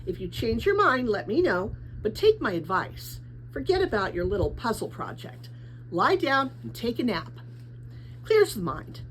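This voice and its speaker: Lady reading as a soothing storybook captain lady, kind but talks down to you, high voice, swoops around. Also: big pitch swings, normal speed, a little hiss or hum, low-quality recording. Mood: soothing, condescending. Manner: soothing, patronizing, fervent. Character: kindly, skinny woman messenger captain, earnest, condescending believer.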